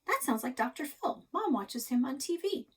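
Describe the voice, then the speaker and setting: Woman voicing a high-pitched, curious young storybook boy. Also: big pitch swings, moderate pace, recorded from a distance, heavy background noise. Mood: matter-of-fact. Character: curious young boy, coaxing his grandpa. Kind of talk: children's storybook read-aloud.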